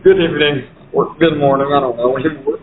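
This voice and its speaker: Man acting a high-voiced, echoey, desperate trapped survivor. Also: fairly even intonation, close to an everyday voice. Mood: weary despair. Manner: despairing, halting, pleading. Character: desperate trapped apocalypse survivor, grieving husband. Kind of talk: amateur horror audio drama monologue. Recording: echoey, phone-like, faint noise.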